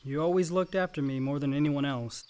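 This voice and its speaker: An actor playing a frank, hot-headed young younger brother in a naturalistic radio drama, casual and profane. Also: male voice, fairly high pitch, a little fast, darkish tone, slight background noise, close to an everyday voice. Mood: warm, casual.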